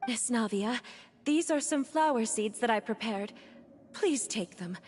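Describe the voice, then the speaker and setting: Earnest, grateful game villager wife; slightly high, courteous, light background noise. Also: female voice, lively intonation, measured pace, a little mellow. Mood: grateful, polite. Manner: polite, earnest. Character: kindly, grateful townswoman, polite wife. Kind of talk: video game voice acting.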